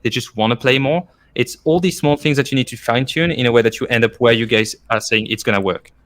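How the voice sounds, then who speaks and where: A French-accented man with a very bright, forward voice. He talks as a guest in a casual debate, explaining at length and repeating key points for emphasis. His pitch sits in an ordinary male range. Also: fast. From France. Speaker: former esports coach, podcast guest. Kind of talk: casual argumentative discussion.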